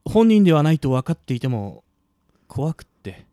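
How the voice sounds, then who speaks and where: A man acting as a mild, caring young male friend with gentle, casual speech in a Japanese audio drama. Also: on the high side, very animated, moderate pace, dry recording, studio quality. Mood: remorseful.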